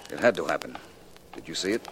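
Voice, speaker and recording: An actor playing a composed, probing private detective in a late 1940s radio mystery, measured and courteous in his questioning. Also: very deep voice, expressive, a bit bright, slight background noise, full character voice, low-quality recording.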